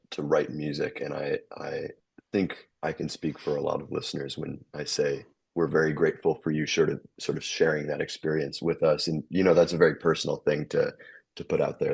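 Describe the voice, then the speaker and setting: Male radio host, very low and flat, husky, earnest interviewer. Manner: earnest, appreciative, halting.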